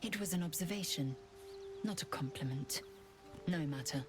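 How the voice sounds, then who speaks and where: An actress playing a severe, impatient alien warrior in a fantasy game, clipped, imperious and blunt. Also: female voice, low-pitched, moderate pace, over music, natural voice. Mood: disdainful.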